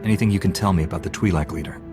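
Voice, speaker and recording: A man acts a young Jedi apprentice in a video game. The voice is low, earnest and polite, practical and curious in tone. Music is clearly heard behind it. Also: very fast, lightly acted. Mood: earnest, inquiring.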